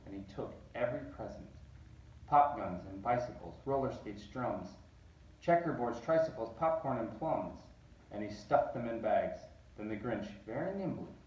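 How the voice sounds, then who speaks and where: A man reading a picture book aloud, animated and rising on repeated words. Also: mid-range voice. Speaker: reader. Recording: roomy echo, distant mic.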